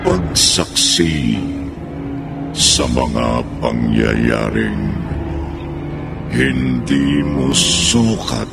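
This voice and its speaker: A man with a Filipino accent giving ominous, theatrical, drawn-out narration as a gravelly horror radio announcer. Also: extremely low pitch, sing-song. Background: loud dramatic music.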